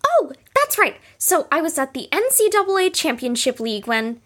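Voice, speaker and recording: Squeaky, bubbly hyper kid. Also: female voice, normal speed, background noise. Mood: cheerful. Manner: excitable, chirpy. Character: bubbly, childlike, hyper sporty kid, eager chatterbox. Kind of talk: audio drama acting.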